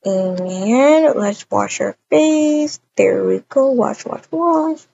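A woman playing a sweet, excitable young woman in a casual roleplay, gushing with breathy exclamations. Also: on the high side, very slow, a little hiss or hum, exaggerated voice. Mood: contented. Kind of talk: casual improvised roleplay acting. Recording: dull, lo-fi home microphone.

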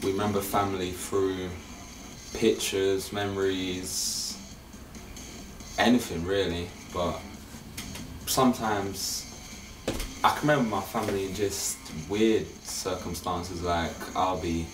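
Deep-voiced young English man, reflective. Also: steady tone, slow pace, background noise. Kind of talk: casual documentary interview.

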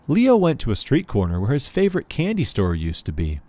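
A man's voice: mid-range voice, huge pitch swings, fairly quick, dark tone. A man reading a children's book aloud as a storyteller. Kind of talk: storybook read-aloud narration.

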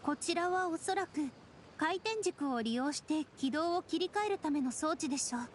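A thoughtful young female strategist from an anime game. Her high voice is polite, formal and measured. A faint rumble lies behind it. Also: animated. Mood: composed, thoughtful.

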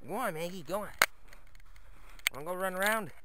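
A man's voice: high-pitched, brisk, very noisy. A man talking to his dogs in a sing-song, coaxing way while filming a walk through snowy woods on a handheld camera. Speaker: vlogger walking his dogs.

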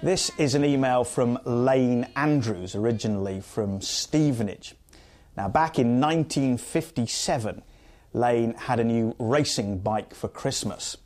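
A man's voice: medium pitch, unhurried, a little mellow, no room sound, soft background music. British male reporter, middle-aged, scripted narration for a television feature.

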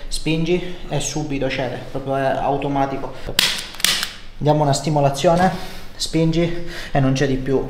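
A man's voice: on the high side, a little mellow. Reassuring man in an echoey room. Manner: reassuring, explanatory, gives short instructions. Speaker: chiropractor. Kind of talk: talking a patient through hands-on treatment. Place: indoor home-style treatment room. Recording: roomy echo, noticeable background noise.

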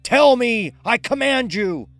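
A man's voice: very high-pitched, expressive, takes it slowly, fairly bright tone, no room sound, faint music behind, voice pushed up, full character voice. A man voicing an obsessed, commanding experimenter in a horror audio drama, screaming intense, desperate demands. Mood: frantic, demanding.

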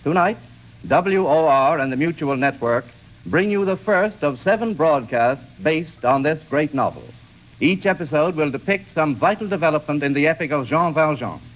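A man's voice: on the high side, expressive, moderate pace. Tinny 1930s male radio announcer. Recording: tinny, band-limited 1930s radio recording.

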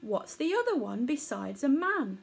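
A woman's voice: sits high, very animated, moderate pace, gravelly, heavily acted. A woman voicing a curious, puzzled newborn boy elephant calf in a storybook, with an innocent, questioning manner.

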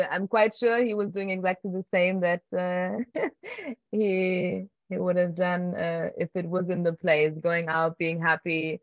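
A woman's voice: on the low side, no echo. Hesitant woman on a muffled video call. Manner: hesitant, many fillers and restarts, soft laughs. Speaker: filmmaker, keynote guest. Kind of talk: conversational Q&A answers. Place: home room with wooden beams. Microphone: laptop webcam on video call.

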